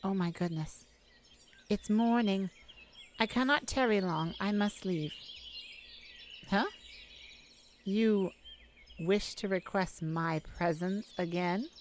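A woman's voice: medium pitch, a little hiss or hum, lightly acted. A woman playing a haughty yet kindly goddess of light in an ASMR audio roleplay, theatrical and teasing. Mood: fond, gentle. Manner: theatrical, teasing, mock-offended, with pauses.

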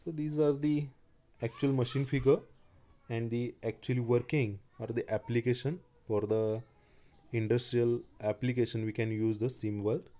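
A man giving a slide-based technical lecture, speaking haltingly with frequent restarts and fillers. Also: medium pitch, fairly even intonation, mellow. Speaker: engineering lecturer.

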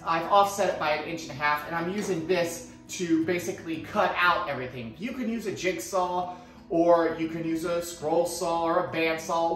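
A man's voice: high voice, fairly bright tone. Man teaching in an echoey workshop. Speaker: woodworking tutorial host. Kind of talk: casual step-by-step instruction. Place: indoor woodworking shop. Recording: roomy echoing workshop sound.

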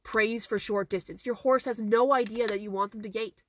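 A woman in her thirties, a horse trainer with a hoarse, fading voice, answering viewer questions in a casual live stream from her car, phone held at arm's length, repeating her key points earnestly. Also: on the high side, steady tone, normal speed. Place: inside a parked car. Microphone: phone camera at arm's length.

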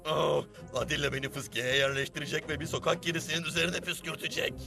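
A man's voice: a little high, little pitch movement, fast talker, vocal fry, pushed and bright, faint music behind. A man voicing a scheming, bullying bug commander in a Turkish-dubbed cartoon, barking scornfully at underlings. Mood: dreading.

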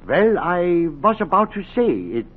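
An actor playing an excitable, well-meaning professor in a 1940s radio drama, stammering and earnest. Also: male voice, high voice, normal speed. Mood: flustered, eager. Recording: muffled, crackly 1940s radio recording.

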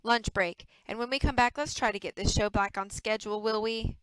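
A woman voicing a brisk, commanding male TV director with a booming manner in an audiobook. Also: fairly bright tone, smooth, no room sound, natural voice, low-quality recording.

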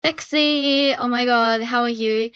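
A woman's voice: fairly high pitch, steady tone, measured pace, a bit bright, clean tone, dry recording. A woman chatting casually with a friend on an online voice call, in lo-fi audio. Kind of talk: casual chat. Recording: dull lo-fi voice chat audio.

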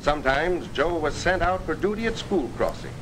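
A man reading scripted 1950s training film narration in a formal, earnest, measured storytelling manner. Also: on the high side, very animated, fairly bright tone. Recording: noisy 1950s film soundtrack.

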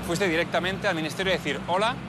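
A man's voice: high-pitched, quick pace. A man in his thirties with a Castilian Spanish accent, interviewing as a reporter for a documentary. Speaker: reporter and presenter. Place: outdoors at a military base, open hangar and yard. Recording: clear outdoor vehicle and ambience noise.

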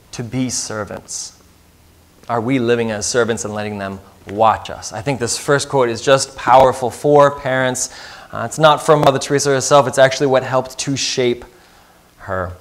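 A man in his thirties preaching a sermon as pastor, earnest and putting questions to the congregation, recorded in a church sanctuary. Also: mid-range voice.

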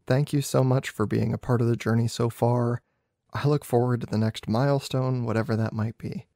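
A man's voice: medium pitch, fairly even intonation, moderate pace, dark tone, dry recording, clean studio sound. A man who hosts a podcast, talking casually and narrating a story. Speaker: podcast host.

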